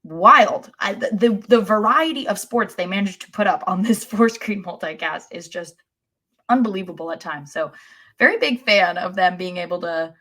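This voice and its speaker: A woman's voice: medium pitch, expressive, moderate pace, fairly bright tone. An American woman co-hosting a sports podcast in casual chat, opinionated and wry, with emphatic turns.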